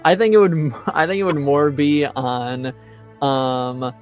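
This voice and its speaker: A man's voice: on the high side, steady tone, slow pace, soft background music. A man running a tabletop roleplaying game, talking frankly and casually.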